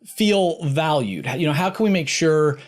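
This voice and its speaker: A man with a somewhat high-pitched voice hosts an interview. He talks conversationally, laying out anecdotes and voicing other people's views before putting his question to the guest. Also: expressive, measured pace. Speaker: interview show host.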